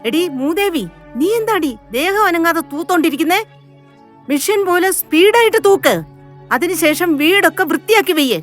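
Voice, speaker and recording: A woman's voice: very high-pitched, animated, normal speed, soft background music. A woman voicing a cruel, haughty mistress of a house who scolds harshly in a moral story. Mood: bossy. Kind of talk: dramatized moral story for children.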